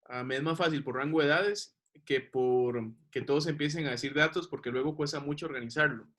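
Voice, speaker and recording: A man's voice: on the high side, a little fast, fairly bright tone. A man teaching a class, explaining patiently and checking that the students follow. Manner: patient, checks understanding with questions.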